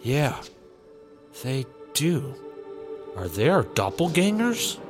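Breezy space cop, lively delivery. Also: male voice, mid-range voice, slow pace, over music. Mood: puzzled. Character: breezy, dim male space cop buddy. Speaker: voice actor. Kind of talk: comedy sci-fi audio drama acting.

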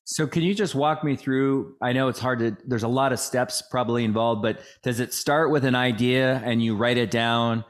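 A male podcast host with a voice of middle pitch asks his guest questions. His questions are loose and searching, and he rephrases them as he goes. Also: fairly even intonation, moderate pace, hardly any pauses. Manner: rambling, searching questions full of "I mean" and "like". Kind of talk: casual interview questions.

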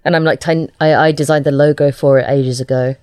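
A woman with a low-pitched voice, recorded very dry. She talks casually as an interview guest. Her manner is informal and a little self-deprecating. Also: fairly even intonation, brisk, a little mellow, clear, resonant tone, no echo. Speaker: writer and illustrator, interview guest.

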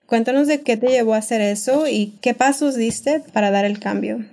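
A woman's voice: fairly high pitch, normal speed, mellow. A woman co-hosting a casual podcast, supportive and asking questions with many short backchannels. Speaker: podcast co-host. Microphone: studio microphone.

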